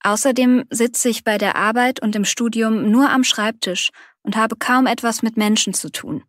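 A friendly young female job applicant with a fairly high voice, read by a woman. She explains herself readily, recorded dry and close. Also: steady tone, normal speed, a bit bright, no room sound, natural voice. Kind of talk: scripted learner dialogue.

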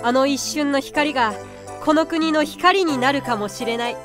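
Woman voicing a high boyish magical unicorn mascot. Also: normal speed, music in the background, close to an everyday voice. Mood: confident, persuasive. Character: small cute unicorn guardian beast, confident scheming mentor. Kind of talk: anime-style voice drama acting.

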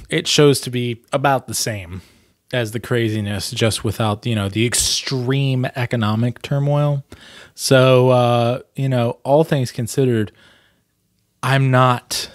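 A man's voice: mid-range voice, dry recording. A man in his thirties speaking as a vlog host and storyteller, earnest and emphatic, close to a desk microphone. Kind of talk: storybook reading and candid commentary. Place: small plain room indoors.

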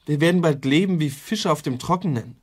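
An actor playing an earnest, indignant young activist in a radio play, urging action in a husky, idealistic way. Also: male voice, on the high side, brisk. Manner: urgent, idealistic. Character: earnest, indignant young male activist rallying his school. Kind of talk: German radio play acting.

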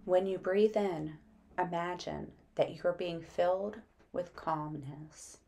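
A woman narrating a guided meditation in a gentle, soothing, unhurried manner. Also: lowish voice, fairly even intonation, vocal fry.

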